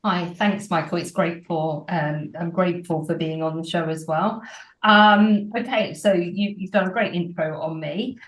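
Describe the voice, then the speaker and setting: A woman's voice: fairly low pitch, steady tone, a little slow. A woman in her forties, an agency owner, giving earnest interview answers full of ums and restarts. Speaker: real estate agency owner and broker. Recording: roomy echo.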